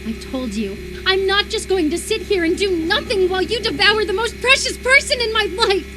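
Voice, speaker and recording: A woman's voice: extremely high pitch, animated, fairly quick, heavy background noise. A woman voice-acting an unhinged, obsessive lover in a horror visual novel, intense and volatile, swinging between tenderness and menacing fury. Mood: threatening, then desperate rage. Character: unhinged, obsessive lover convinced an impostor replaced their beloved.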